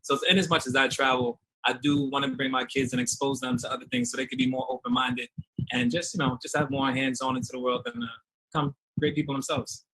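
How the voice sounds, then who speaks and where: A man's voice: mid-range voice, sing-song, quick pace, vocal fry, fairly bright tone, slightly rough, rough recording. A man from Trinidad and New York, a dating show contestant, talking eagerly and upbeat over a video call.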